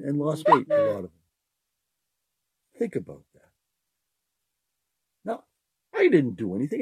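An older man with a very husky, mellow voice talks to his listeners unscripted, earnest and rambling, circling back over the same phrases. His pitch swings widely as he talks, and the sound is dry and close. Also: no echo. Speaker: podcast host. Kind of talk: unscripted heartfelt monologue.

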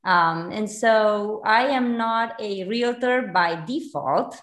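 A woman's voice: mid-range voice, slow pace, fairly bright tone. A woman in her forties from Romania, a realtor guest in a casual interview, chatty with many fillers and restarts. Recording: echoey room.

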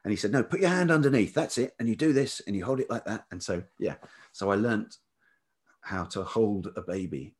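A man's voice: lowish voice, animated, a little fast, breathy edge. A middle-aged man with a velvety voice telling anecdotes as an interview guest, hesitantly piecing his thoughts together. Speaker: podcast guest, writer and producer. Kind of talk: reflective anecdotal interview talk.

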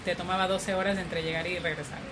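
A woman from Ecuador telling her story as an interview guest, in a slow, relaxed way. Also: lowish voice. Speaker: interview guest, coffee farmer. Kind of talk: conversational interview. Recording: noisy background ambience.

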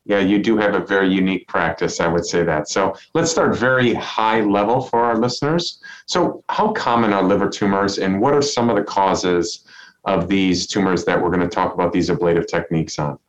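A middle-aged man hosting a medical podcast and interviewing a guest in a measured way. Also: low voice. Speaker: podcast host. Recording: dull, lo-fi sound.

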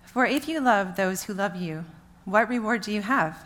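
Medium-pitched woman reading scripture. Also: expressive, moderate pace, a bit bright. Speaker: scripture reader. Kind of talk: read-aloud Bible passage.

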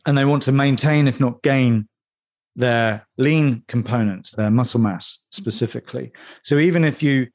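A man co-hosting a discussion, setting up the topic in a measured, structured way. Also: mid-range voice, darkish tone. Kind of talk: conversational expert discussion.

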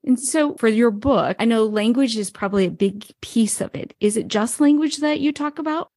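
Woman, podcast host, friendly and affirming, a bit high, dry sound. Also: normal speed, darkish tone, no room sound. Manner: friendly and affirming, laughs easily. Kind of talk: interview questions.

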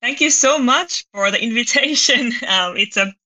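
A woman from Finland with a Finnish accent, a company founder speaking as a podcast guest and pausing to find her words. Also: fairly high pitch, animated, pushed and bright. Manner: thoughtful, searching for words, light laughs. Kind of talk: podcast interview.

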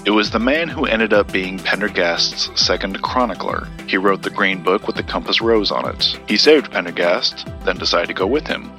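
A low, bright-edged male voice for an audio drama. He is an earnest, guarded keeper of occult secrets who lays out his tales in measured sentences, with faint music underneath. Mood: measured storytelling. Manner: measured, storytelling.

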